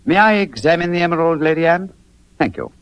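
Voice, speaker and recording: Shrewd English detective, formal and authoritative, widely varied intonation, vintage radio play. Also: male voice, a little high, lively intonation, brisk, a bit bright, clean tone, muffled recording. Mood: assured. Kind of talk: 1940s radio drama acting.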